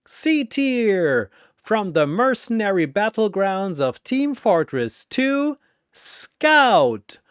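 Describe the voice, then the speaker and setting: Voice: very animated, drawn-out words, clear, resonant tone, no echo, studio quality. A narrator and arena announcer delivering dramatic scripted battle storytelling in a theatrical manner. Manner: theatrical, booming announcements alternating with hushed storytelling.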